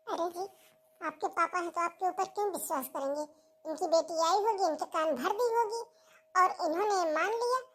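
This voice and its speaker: A woman's voice: very high voice, moderate pace. A woman voicing a spiteful, scheming young sister-in-law who nags and insinuates in a cartoon family drama. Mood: insinuating. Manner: insinuating, nagging. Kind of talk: Hindi cartoon family melodrama.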